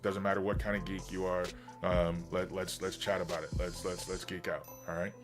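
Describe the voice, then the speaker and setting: A man hosting a livestream in casual, rambling, enthusiastic chat, his voice slightly hoarse from getting over being sick. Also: sits low, little pitch movement, moderate pace, music in the background. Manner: enthusiastic, informal geek talk. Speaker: livestream host.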